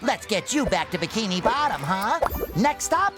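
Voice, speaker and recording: An actor playing a cheerful, naive, eager young sea sponge in a cartoon video game, earnest and excitable. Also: male voice, high-pitched, normal speed. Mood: happy excitement. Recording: loud music behind voice.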